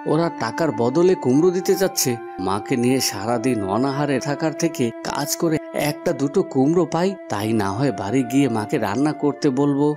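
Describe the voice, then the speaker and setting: A man's voice: mid-range voice, expressive, brisk, natural voice. A man voicing a poor young village son in a cartoon, grumbling yet dutiful and earnest. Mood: worried, pleading. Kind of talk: cartoon voice acting. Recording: music behind the voice.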